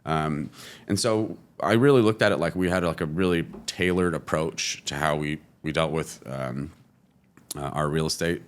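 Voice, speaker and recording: A man from California, a guest talking casually in an interview, relaxed and full of "you know" fillers. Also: very deep voice, moderate pace. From California, USA. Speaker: guest, entrepreneur.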